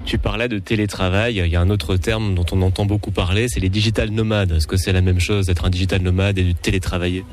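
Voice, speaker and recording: A man hosts a radio interview and rattles off his questions at a quick clip. His voice sits on the low side, and he sounds inquisitive as he draws out his guests. Faint music plays beneath him. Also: fairly even intonation, darkish tone. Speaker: radio host.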